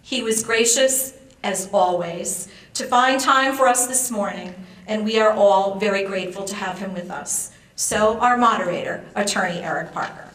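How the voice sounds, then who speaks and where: An American woman giving a formal ceremonial introduction as a speaker, measured and courteous in manner. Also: mid-range voice, a bit bright. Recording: roomy echo, distant microphone.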